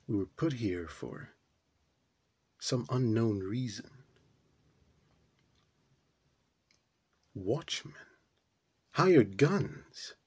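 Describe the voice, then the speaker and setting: A man playing a puzzled, brooding character in a theatrical stage monologue, pondering haltingly with deliberate pauses. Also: mid-range voice, husky, exaggerated voice. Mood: suspicious, brooding.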